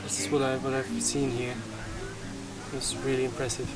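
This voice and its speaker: Even-toned young man over loud music. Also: mid-range voice, moderate pace. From Germany, grew up in Portugal. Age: 20s. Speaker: event volunteer being interviewed. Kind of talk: casual personal account. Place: outdoors in a mountain village.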